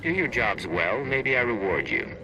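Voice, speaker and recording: An actor playing a cold, commanding male intelligence agent in a video game, speaking in a gravelly, clipped way. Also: mid-range voice, big pitch swings, moderate pace, highs cut off. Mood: menacing. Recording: music behind the voice.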